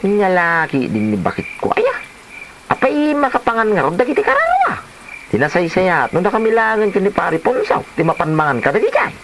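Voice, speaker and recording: A man's voice: high voice, wildly swooping pitch, brisk, a bit bright, a little hiss or hum, exaggerated voice, poor audio. A man playing a stubborn, joking, blustering husband in a comedy audio drama. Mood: dismissive. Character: stubborn, joking husband who raids grave offerings. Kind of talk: comedy radio-style drama acting.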